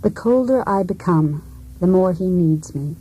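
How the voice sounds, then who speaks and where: An actress playing a cold, disillusioned wife set on breaking free, in a deliberate, introspective radio drama monologue. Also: female voice, on the low side, lively intonation, dark tone, rough recording. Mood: cold, contemptuous.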